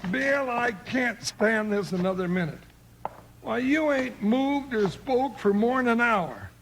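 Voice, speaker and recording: A man playing an excitable, loyal cowboy sidekick in a 1950s radio western, with a folksy American drawl. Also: very high voice, unhurried, exaggerated voice. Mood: impatient, folksy. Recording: noisy vintage radio recording.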